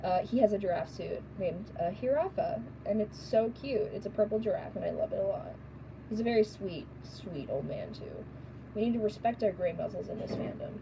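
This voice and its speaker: Raspy woman, rambling casual chat, fursuit maker at work, loud steady hum behind. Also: medium pitch, fairly quick. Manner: rambling, chatty, reads viewer questions aloud. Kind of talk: casual chat while sewing.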